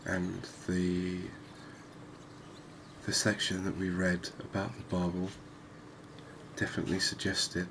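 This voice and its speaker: A man giving unhurried, murmured ASMR commentary as he hosts a fishing game series. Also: low voice, monotone, noisy background. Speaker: host of a gaming series.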